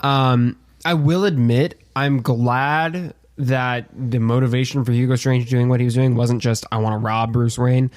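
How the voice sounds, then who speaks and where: Mid-pitched man giving casual opinions. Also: fairly even intonation, measured pace, few pauses, darkish tone. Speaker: podcast co-host.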